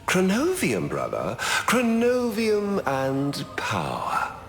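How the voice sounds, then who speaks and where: A man's voice: high voice, huge pitch swings, slow pace, a bit bright, exaggerated voice. A man playing a sly, ambitious trickster god in a video game, mocking and theatrical, with long reverb around the voice. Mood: smug. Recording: long cavernous reverb with music behind.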